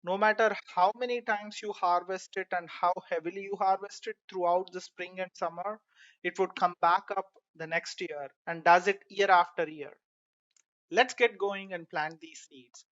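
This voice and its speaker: A man's voice: high voice, fairly even intonation, normal speed, a bit bright, no room sound, highs cut off. A man from India hosting his own gardening video, walking viewers through numbered tips in a friendly, instructive way. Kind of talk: narrated gardening tutorial.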